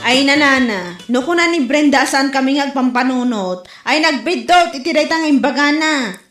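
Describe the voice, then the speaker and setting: A woman's voice: sits high, expressive, normal speed, fairly bright tone, echoey room, soft background music. A woman with an Ilocano accent playing a proud, easily slighted village wife who complains in a comedy audio drama. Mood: complaining.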